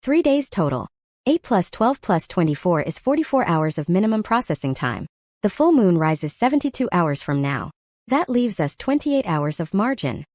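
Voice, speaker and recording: A woman's voice: medium pitch, very animated, moderate pace, darkish tone, dry recording, studio quality. A woman voicing an earnest, protective werewolf alpha of about thirty in a romance audiobook, speaking simply and sincerely. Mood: serious, considerate.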